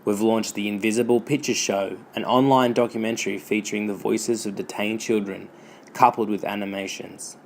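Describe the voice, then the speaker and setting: Low-voiced man reading scripted narration. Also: steady tone, normal speed, slight background noise. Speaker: campaign narrator.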